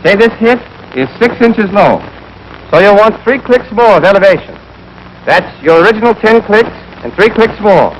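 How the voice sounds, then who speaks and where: A man's voice: high voice, sing-song, normal speed, muffled recording. A young American man giving scripted, clipped, step-by-step marksmanship instruction as an army instructor in a 1940s training film. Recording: noisy 1940s film soundtrack.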